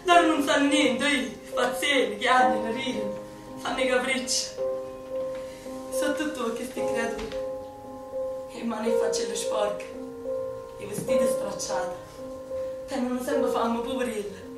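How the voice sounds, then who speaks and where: Lenuccia is a bright, teasing young Neapolitan woman partisan, played by an actress in a radio stage play, with a high voice and a Neapolitan accent. She is caught from some distance in a very echoey room, and music plays behind her. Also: measured pace, long pauses, close to an everyday voice. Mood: tender. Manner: lively, teasing, often laughing. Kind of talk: theatrical radio drama.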